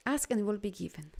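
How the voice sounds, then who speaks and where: A woman's voice: creaky, dark tone. A woman with a Polish accent, an energy healer and coach, talking live to her viewers and answering comments in a heartfelt, affirming way. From Poland. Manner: heartfelt, affirming, rises in pitch and volume when emphatic.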